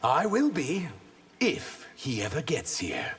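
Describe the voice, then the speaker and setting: A man's voice: fairly high pitch, huge pitch swings, takes it slowly, a bit bright, a little hiss or hum, exaggerated voice. A man playing an earnest, vengeful reptilian warrior in a video game, speaking with intense, dramatic defiance. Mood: taunting. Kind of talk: video game character dialogue.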